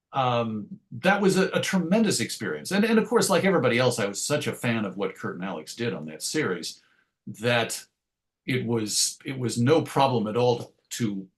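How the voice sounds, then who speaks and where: A middle-aged man talking reflectively in an interview, articulate and measured, with a modest, self-deprecating way of putting things. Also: medium pitch, expressive. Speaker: interview guest, writer and radio show host.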